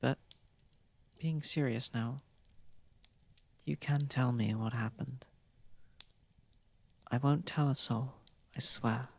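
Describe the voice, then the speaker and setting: A man voicing a gentle, courtly old vampire in an ASMR roleplay, speaking softly and tenderly, often close to a whisper. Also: mid-range voice, fairly even intonation. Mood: sorrowful, comforting. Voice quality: soft, breathy, slightly gravelly, often near whisper. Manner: tender, polite, unhurried, soothing. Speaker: ASMR voice actor. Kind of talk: ASMR roleplay, soft intimate acting. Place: close, dry recording. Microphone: close microphone.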